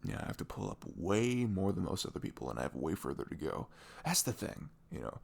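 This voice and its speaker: Man playing a low, husky, sweet boyfriend. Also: very animated, a little fast, a little mellow, heavily acted. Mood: playfully griping. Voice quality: velvety, low, breathy. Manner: chuckling, informal, loosely rambling. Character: sweet, caring young boyfriend, playful and chatty. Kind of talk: intimate ASMR boyfriend roleplay, casual rambling.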